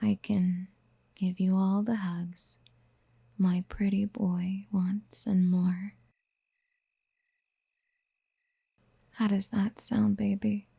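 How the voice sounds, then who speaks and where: A woman playing a doting, possessive, motherly yandere in an ASMR roleplay, speaking in soft, breathy whispers with tender coaxing, close to the mic. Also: medium pitch, level tone, takes it slowly, no echo, full character voice.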